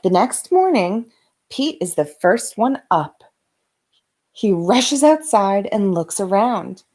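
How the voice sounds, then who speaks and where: A woman narrating a children's bedtime storybook with gentle, dramatic emphasis for young listeners. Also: mid-range voice, sing-song, takes it slowly. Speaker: host of a bedtime story read-aloud channel.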